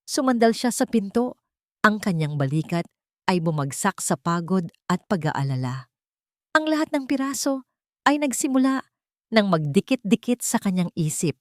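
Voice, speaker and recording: A woman narrating a scripted dramatic story in measured phrases with deliberate pauses. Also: medium pitch, very animated, dry recording, clean studio sound.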